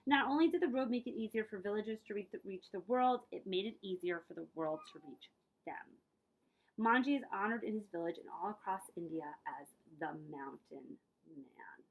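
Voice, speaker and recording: A woman librarian reading aloud for children in an expressive storytelling way, speaking directly to her young listeners. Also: medium pitch, brisk, rough recording.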